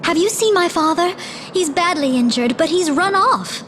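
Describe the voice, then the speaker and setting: A woman's voice: normal speed, a little hiss or hum. A woman voicing a worried, devoted young daughter in a video game, anxious and girlish. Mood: worried, distressed. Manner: anxious, high, animated delivery. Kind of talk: scripted video game voice acting.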